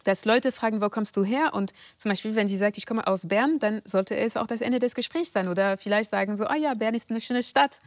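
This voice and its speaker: A woman's voice: medium pitch, dry recording. French-accented woman, dry close sound. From France. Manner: measured and deliberate, with frequent hesitations. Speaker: guest. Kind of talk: thoughtful interview answers.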